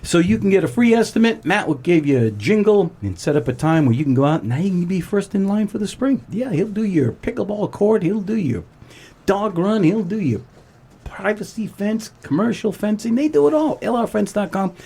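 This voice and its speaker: An older man with a husky, slightly high voice hosts talk radio. His pitch swings widely, and he delivers his lines in a playful, hammy announcer's manner. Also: lively intonation, moderate pace, mellow. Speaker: radio show host. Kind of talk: talk radio hosting and live sponsor reads.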